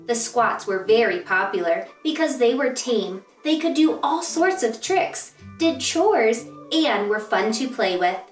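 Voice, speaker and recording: High-voiced woman reading a children's storybook. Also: animated, unhurried, few pauses. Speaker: host of a children's read-aloud show. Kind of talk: storybook read-aloud for children. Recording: roomy echo, distant mic, music behind.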